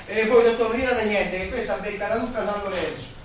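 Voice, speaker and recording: A man's voice: high-pitched, brisk, natural voice. Man acting a chatty Roman commoner, echoey and distant. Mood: chatty, scolding. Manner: colloquial, expressive, gossipy. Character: chatty working-class Roman man, warm-hearted, plain-spoken. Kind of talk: Italian stage-style radio theatre dialogue. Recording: distant, very echoey room, faint noise.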